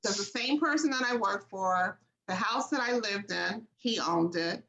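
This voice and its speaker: Host: bright, candid American woman on a video call, echoey room. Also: medium pitch, moderate pace, muffled recording. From United States. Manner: candid, storytelling. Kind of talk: casual video call discussion.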